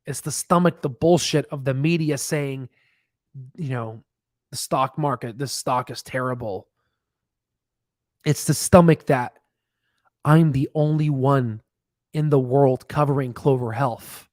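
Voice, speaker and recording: A man in his thirties hosting a livestream on stock analysis, slightly hoarse, animated and emphatic, repeating points, speaking close into a boom mic in a home studio. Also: measured pace, long pauses, no room sound. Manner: animated, emphatic, repeats phrases, drops to whispers. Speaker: stock channel host. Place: home studio room, indoors. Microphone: podcast mic on boom, close.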